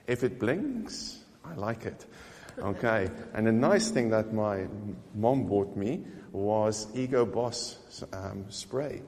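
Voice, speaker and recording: A man's voice: fairly low pitch, lively intonation, slow pace, a little mellow, big-room sound. Eager man. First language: Afrikaans. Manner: eager, chatty, with small restarts. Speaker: vlogger. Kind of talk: casual chat showing gifts.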